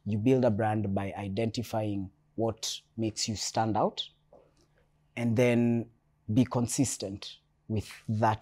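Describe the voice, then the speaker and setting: A Kenyan man from Nairobi speaking as a guest on a panel, measured and reflective, often restarting his sentences. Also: fairly low pitch, warm tone. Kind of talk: panel discussion. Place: hotel event space.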